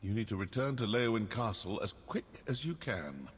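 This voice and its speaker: A man voicing a vengeful, sardonic assassin in a fantasy video game, husky, measured and coolly menacing. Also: fairly low pitch, very animated. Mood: cold resolve. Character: vengeful, sardonic male assassin from a dark brotherhood. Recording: long cave-like reverb.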